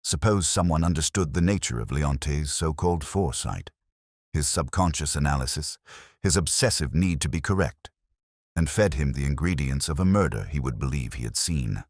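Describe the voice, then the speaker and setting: Guy doing a cool brooding detective for an audiobook, really deep voice, dry close sound, measured. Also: expressive, dry recording. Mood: deducing. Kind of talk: audiobook detective story dialogue.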